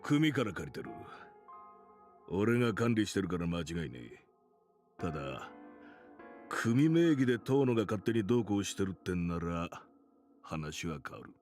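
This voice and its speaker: An actor playing a stern, curt, gruff gang boss in a visual novel game. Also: male voice, deep voice, very animated, fast, long pauses, a little mellow. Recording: game audio with music.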